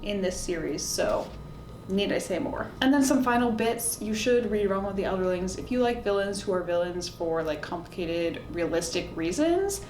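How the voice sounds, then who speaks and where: Woman, medium pitch, informal chatty delivery, opinionated reviewer style, echoey room, faint rumbling noise. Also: animated, normal speed, darkish tone. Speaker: book reviewer, video host. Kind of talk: casual talk to camera recommending books. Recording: roomy echo, faint background noise and rumble.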